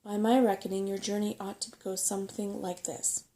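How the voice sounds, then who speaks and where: A woman's voice: medium pitch, little pitch movement, a little fast, warm tone, natural voice. A woman voicing a fussy, chatty, know-it-all old male rat in a storybook reading, with emphatic delivery. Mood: brisk, knowing.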